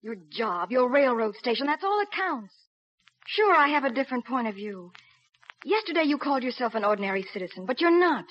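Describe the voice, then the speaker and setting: A woman's voice: high voice, big pitch swings, fairly quick. An actress playing an earnest, anxious young woman with emotional delivery in a 1950s radio drama. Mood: reproachful. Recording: muffled old radio recording.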